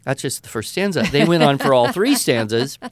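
A middle-aged man co-hosting an education podcast, telling stories in a relaxed, conversational way with many small fillers. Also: medium pitch, brisk, no echo. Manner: relaxed anecdotal, frequent "uh" and "you know". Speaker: podcast co-host, writing educator. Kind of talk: casual conversational storytelling.

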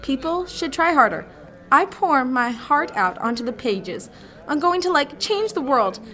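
Lady doing a dreamy teen girl who won't stop gushing, kinda high voice, radio play. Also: big pitch swings, a little slow, bright, forceful tone, full character voice. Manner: gushing, says "like" and "you know" often. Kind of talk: radio drama acting.

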